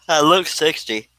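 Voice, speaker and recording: A man's voice: a little high, bright, forceful tone, no echo, studio quality. A man in his fifties hosting a casual live stream, chatting in a folksy, joking, teasing way. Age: 50s.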